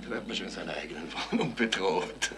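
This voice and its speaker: An actor playing a gruff, domineering father with a Bavarian accent in a 1970s radio drama, blustering and giving orders. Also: low-pitched, expressive, fast. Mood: indignant, bossy. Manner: blustering, commanding. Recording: noisy 1970s radio recording.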